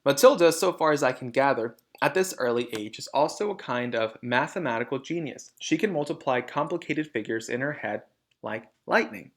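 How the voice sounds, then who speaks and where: A man voicing a polite, restrained woman schoolteacher in a storybook, speaking in a measured, earnest way. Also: medium pitch, lively intonation. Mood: earnest, persuasive.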